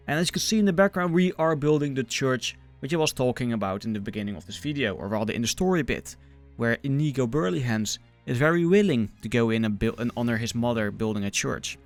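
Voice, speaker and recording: A young man from the Netherlands with a Dutch accent, giving casual, enthusiastic and self-deprecating gaming commentary as a video host. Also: on the high side. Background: music.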